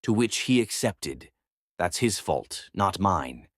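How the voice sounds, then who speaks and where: A man playing a defensive, hot-headed prison overseer in an amateur animated web drama, argumentative and excitable. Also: deep voice, slow pace, no room sound, clean studio sound, heavily acted.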